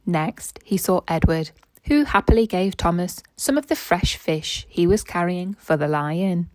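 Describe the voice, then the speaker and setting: Low-pitched woman reading aloud, dry close sound. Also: unhurried, darkish tone, no room sound. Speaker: storyteller and quiz host. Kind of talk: read-aloud children's story narration and quiz.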